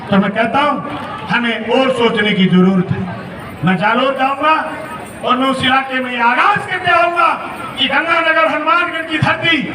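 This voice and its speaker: A man's voice: extremely high pitch, recorded from a distance. A man, a state legislator, giving a rousing, declamatory public speech at an outdoor Independence Day ceremony. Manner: rousing, declamatory, often shouting. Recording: echoey loudspeaker sound from afar, crowd noise.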